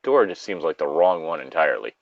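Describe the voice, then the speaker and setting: A man with a very low voice reads and chats casually as a co-host, dropping joking asides. The sound is close and dry, with a slightly dull, lo-fi edge. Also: lively intonation, quick pace, vocal fry, dry recording. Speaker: co-host of a story reading show. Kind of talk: casual reading and banter.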